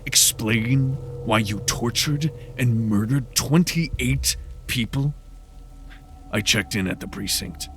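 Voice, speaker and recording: A man's voice: mid-range voice, takes it slowly, a bit bright, a little hiss or hum. A man voicing a cold, vengeful supernatural detective in a horror audio drama, with a menacing, contemptuous manner. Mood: cold accusation.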